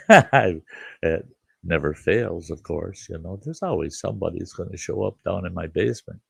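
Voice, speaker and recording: An older man with a congested voice, hosting a relaxed live chat, greeting viewers by name and rambling with frequent chuckles. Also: sits low, normal speed, gravelly, breathy edge, dry recording. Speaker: livestream host.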